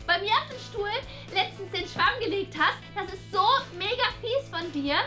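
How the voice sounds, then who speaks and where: A woman voicing a gushing, teasing, excitable schoolgirl in a children's toy-play story. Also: very high-pitched, moderate pace, exaggerated voice. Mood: accusing. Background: prominent music.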